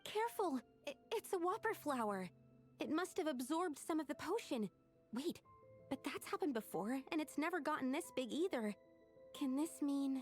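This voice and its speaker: Studious, precise young alchemist. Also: female voice, sits high, sing-song, normal speed, loud music behind the voice. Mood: startled. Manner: precise, explanatory. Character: studious young female alchemist, earnest and analytical. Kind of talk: video game voice acting.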